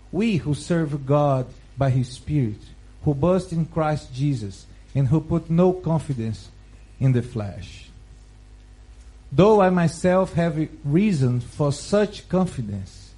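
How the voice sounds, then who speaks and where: Slow Brazilian-accented man preaching. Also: fairly high pitch, mellow, low-quality recording. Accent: Brazilian Portuguese. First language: Portuguese. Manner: slow, careful, halting phrasing with restarts. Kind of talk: Bible reading and sermon.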